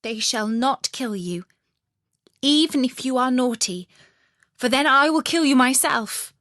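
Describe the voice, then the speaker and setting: Woman as bossy little robber girl, unhurried. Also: high voice, lively intonation, fairly bright tone, no echo, studio quality, pitched above the performer's own voice. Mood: threatening. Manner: commanding, blunt.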